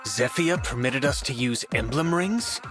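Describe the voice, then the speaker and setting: An actor playing a stern, dutiful knight in a video game, formal and solemn in delivery. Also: male voice, mid-range voice, expressive, unhurried, music in the background, lightly acted. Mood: disapproving. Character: grave, dutiful male knight, loyal and stern. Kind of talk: video game cutscene voice acting.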